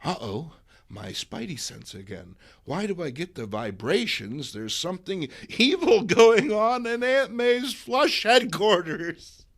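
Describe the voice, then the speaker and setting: A man's voice: sits high, very animated, takes it slowly, fairly bright tone, voice pushed up, heavily acted. A man playing a wary young photojournalist superhero, understated and a little fretful, in a comic book reading. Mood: suspicious.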